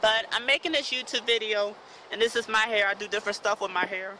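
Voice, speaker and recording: A man of about thirty recording an earnest, halting, repetitive plea as an amateur vlog outdoors on a phone. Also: high voice, fairly even intonation, sharp tone, low-quality recording. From United States. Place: outdoors in a park. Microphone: phone at arm's length. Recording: outdoor phone video, faint background noise.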